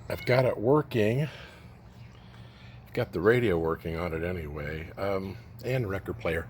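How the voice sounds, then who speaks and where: A man with a fairly low voice talks through his restored radio phonograph in a low-key, halting way, his sentences often trailing off. The recording carries a steady wash of background noise. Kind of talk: casual show-and-tell demo. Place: near the radio, away from the house. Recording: noisy amateur recording.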